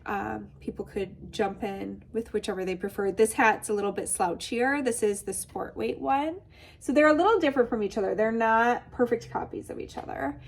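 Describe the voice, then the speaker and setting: A woman's voice: a little high, moderate pace, vocal fry, a little hiss or hum. A woman with a Michigan accent hosting a knitting podcast, congested and a little nasal, chatting casually with lots of asides. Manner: chatty, bubbly, with many asides. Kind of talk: casual chat.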